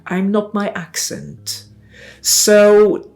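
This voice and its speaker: A woman in her forties from Italy, speaking English with an Italian accent in a reflective, halting personal monologue with many pauses and restarts. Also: mid-range voice, gravelly, darkish tone, soft background music. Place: lives in Brighton.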